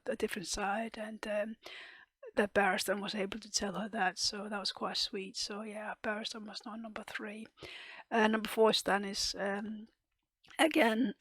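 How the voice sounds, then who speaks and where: Woman going hoarse, rambling review with lots of ums, breathy flat voice, dry close sound. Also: mid-range voice, no room sound. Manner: hesitant, many ums and restarts, soft half-whispered delivery. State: losing her voice, clearing throat. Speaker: TV reaction and review host. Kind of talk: casual spoken review.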